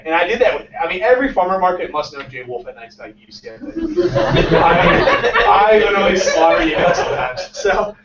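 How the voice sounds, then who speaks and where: Man telling a lively story from across a room. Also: sits high, slight background noise. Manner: animated, acts out dialogue, laughs often. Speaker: startup founder giving a talk. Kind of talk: casual storytelling talk to a small audience. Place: small crowded meeting room, indoors. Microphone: far camera, no visible mic. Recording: distant, roomy, dull lo-fi sound.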